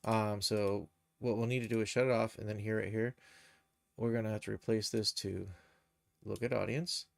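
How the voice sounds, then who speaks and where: A man hosting a casual, unscripted software tutorial, thinking aloud as he explains each step. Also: lowish voice, steady tone, normal speed, gravelly, no room sound. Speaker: live stream tutorial host, game and cartoon developer.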